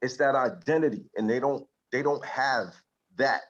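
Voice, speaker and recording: A man's voice: mid-range voice, moderate pace, lo-fi sound. A man speaking as a guest in casual talk, earnest and reflective, often repeating words as he searches for them. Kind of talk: casual chat.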